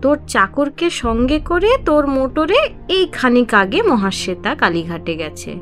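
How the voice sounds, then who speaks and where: Actress doing older auntie telling tales, high voice, really animated, music behind, radio drama. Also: female voice, a little slow, full character voice. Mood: tattling. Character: older aunt of the household, tattling. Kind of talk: audio drama acting.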